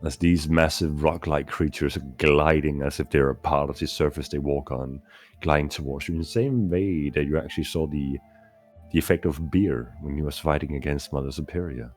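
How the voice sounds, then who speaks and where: Very deep-voiced joking game master. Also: male voice, normal speed, darkish tone, faint music behind. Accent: Danish. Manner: joking, self-deprecating, playful narration. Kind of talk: running a tabletop game online.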